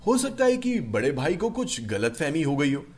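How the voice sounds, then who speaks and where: A man's voice: a little high, very animated, moderate pace. A man voicing a puzzled, earnest young warrior prince in a cartoon myth, questioning respectfully. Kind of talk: cartoon mythological storytelling dialogue.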